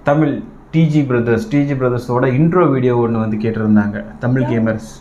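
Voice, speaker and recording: A man's voice: medium pitch, fairly even intonation, brisk. Echoey man hosting casually. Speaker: reaction channel host. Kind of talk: casual chat to viewers. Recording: dull, roomy, faint background noise.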